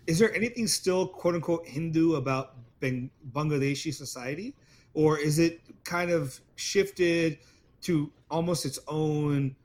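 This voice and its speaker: Male co-host, a bit higher pitch, halting question-asker. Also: a little mellow. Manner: halting, searching for words. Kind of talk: interviewing.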